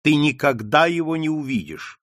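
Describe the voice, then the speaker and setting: A man voicing a stern aristocratic older mother who commands her daughter in sharp outbursts, in an audiobook reading. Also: on the high side, big pitch swings, normal speed, no echo, studio quality.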